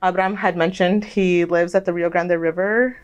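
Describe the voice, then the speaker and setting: A woman's voice: on the low side, steady tone, normal speed, clean tone. A woman hosting a podcast in a casual, chatty way, swearing freely and laughing easily. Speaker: podcast host.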